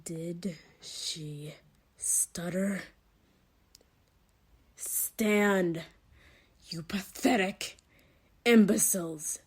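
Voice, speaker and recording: A woman playing a doctor with a split persona, tender yet menacing, in a soft, hushed ASMR roleplay with a condescendingly sweet manner. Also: medium pitch. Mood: coldly formal, then angry, commanding. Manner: slow, hushed, breathy delivery, condescendingly sweet. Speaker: voice performer.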